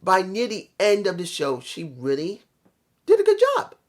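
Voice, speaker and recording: A slightly hoarse vlogger giving a casual, opinionated wrestling review, conversational and repeating points for emphasis. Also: medium pitch, wildly swooping pitch, normal speed.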